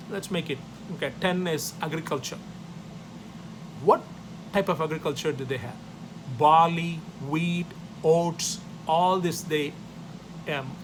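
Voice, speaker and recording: A man's voice: a little high, background noise. Tamil-speaking man lecturing with an Indian accent. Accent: Indian English. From South India. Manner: loose, repetitive phrasing, numbered points, many tag questions. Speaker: teacher. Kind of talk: classroom history lecture.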